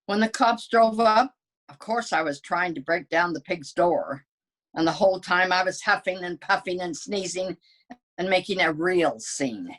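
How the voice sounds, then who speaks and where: A woman's voice: on the low side, lively intonation, unhurried, a bit bright, pitched above the performer's own voice. A woman reading as a wily male wolf who pleads his innocence in folksy, self-justifying storybook narration.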